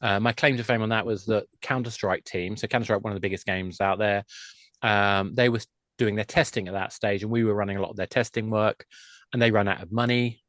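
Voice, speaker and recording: Low-voiced middle-aged man, chatty. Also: steady tone, fairly quick, a bit bright, no room sound, studio quality. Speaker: podcast guest, games industry expert. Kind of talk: conversational interview talk.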